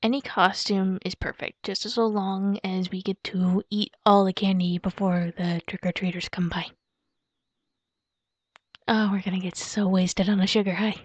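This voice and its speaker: A young woman playing a playful, excitable girlfriend in a roleplay audio, speaking softly and hesitantly as if musing aloud to her partner. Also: mid-range voice, normal speed, no echo, close to an everyday voice. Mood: affectionate, cheerful. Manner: giggly, cutesy, soft and hesitant, musing aloud. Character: playful, excitable werewolf girlfriend shopping for Halloween costumes. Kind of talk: girlfriend roleplay ASMR, improvised-sounding monologue to a partner. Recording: dull lo-fi close dry recording.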